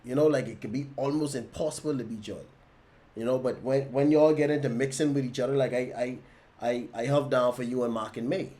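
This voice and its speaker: A man's voice: medium pitch, steady tone, fairly quick, warm tone. A man hosting a casual podcast, laid-back and emphatic. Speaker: podcast host.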